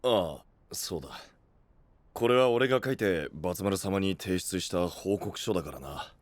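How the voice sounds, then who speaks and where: An actor playing a gruff, earnest young male knight who blames himself, with rough speech in an anime-style voice drama. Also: lively intonation, moderate pace, dry recording. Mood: resigned. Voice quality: deep, heavy voice.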